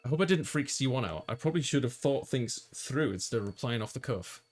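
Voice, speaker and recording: A man's voice: medium pitch, animated, quick pace. A man reading a visual novel aloud as a let's player, narrating and chatting casually, with game music behind him.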